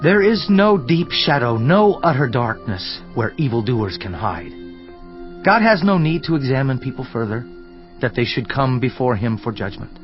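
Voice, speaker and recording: A man's voice: medium pitch, lively intonation, moderate pace, lightly acted. A man playing an earnest, indignant counselor in a dramatized scripture reading, solemn, emphatic and declamatory in delivery. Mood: fervent rebuke. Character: Elihu, earnest indignant male counselor rebuking a suffering man. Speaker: voice actor in audio Bible. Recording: slightly dull sound, music underneath. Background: background music.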